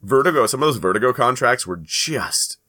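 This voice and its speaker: A man's voice: medium pitch, expressive, brisk, bright, forceful tone. A man co-hosting a podcast in casual panel chat, rambling and often interrupting himself with qualifications. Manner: rambling, hedging, self-interrupting. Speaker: comics news podcast co-host.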